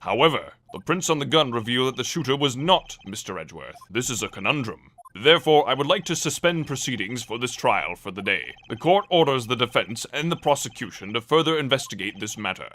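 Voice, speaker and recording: A man's voice: medium pitch, very animated, fairly quick, hardly any pauses, a bit bright. A man playing a stately, easily puzzled judge in a game fandub, speaking formally and with authority. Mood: authoritative. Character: stately male courtroom judge, solemn but easily puzzled. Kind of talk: theatrical video game fandub courtroom acting.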